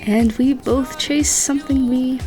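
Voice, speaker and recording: Woman acting as a high-pitched playful, rueful woman. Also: steady tone, measured pace, mellow, soft background music. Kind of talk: online game roleplay voice acting.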